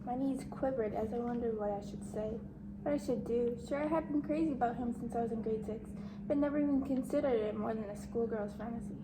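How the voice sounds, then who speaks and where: A woman's voice: a little high, fairly quick, background noise, natural voice. A woman playing a shy, insecure, bookish teenage outcast in an amateur dramatic monologue. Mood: dreamy, nervous.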